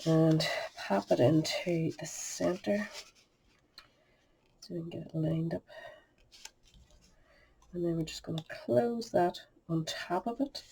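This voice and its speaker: A woman's voice: on the low side, slow, vocal fry, long pauses, warm tone, a little hiss or hum. Chatty woman crafting, sniffly. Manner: chatty and homely, muttering asides under her breath. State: sniffly with a cold. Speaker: craft tutorial host. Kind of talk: step-by-step crafting demonstration.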